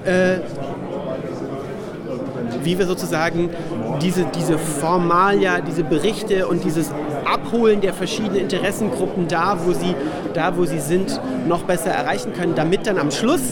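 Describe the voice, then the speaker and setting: A man's voice: high-pitched, fairly even intonation, normal speed. A man being interviewed, thinking aloud in casual speech and restarting his sentences. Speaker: association member interviewed. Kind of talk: casual spoken reflection. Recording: busy crowd noise behind.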